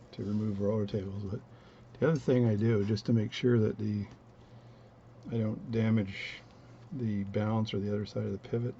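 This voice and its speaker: A man with a low voice talking through his watch repair at a home workbench, relaxed and rambling as he narrates each step. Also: creaky, highs cut off, a little hiss or hum. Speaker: watchmaking hobbyist demonstrating. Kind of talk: casual bench demonstration, talking while working.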